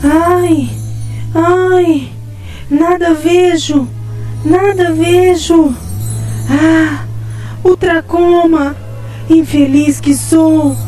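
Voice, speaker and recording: An anguished, sightless spirit woman in a radio drama. Her voice is piercingly high and soft-toned, dragging out each lament as a wail. Music plays behind her. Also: fairly even intonation, heavily acted. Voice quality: high, wailing voice. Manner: drawn-out, lamenting pleas. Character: anguished, blind suffering spirit woman, deeply dejected.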